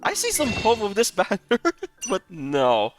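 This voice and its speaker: Halting male game streamer over loud music. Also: high voice, huge pitch swings. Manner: halting, repetitive, often laughing. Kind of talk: casual live gaming commentary.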